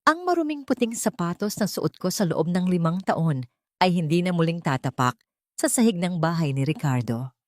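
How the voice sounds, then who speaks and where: Female audio-story narrator, dramatic scripted read, lower register, very dry sound. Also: animated, moderate pace, darkish tone, no echo.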